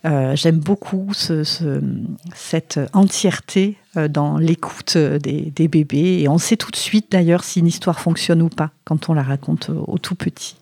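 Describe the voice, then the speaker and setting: A woman with a southwestern French accent answering interview questions conversationally, often pausing to find her words. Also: low-pitched, vocal fry, mellow, dry recording. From southwest France. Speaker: storyteller and writer, interview guest.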